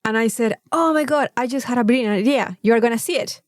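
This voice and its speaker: High-voiced woman telling stories. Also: fast talker, no room sound, clean studio sound. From Mexico. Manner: animated storyteller who quotes dialogue and swears freely. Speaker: podcast co-host. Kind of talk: casual comedic chat and storytelling.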